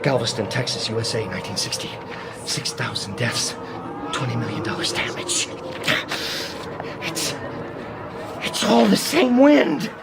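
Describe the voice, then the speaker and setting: An actor playing a terrified, obsessed man besieged by a living wind in a radio drama, halting and overwrought. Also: medium pitch, huge pitch swings, creaky, heavily acted. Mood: grim, obsessive. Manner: overwrought, halting, breaking into manic laughter and cries. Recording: noisy old radio drama broadcast.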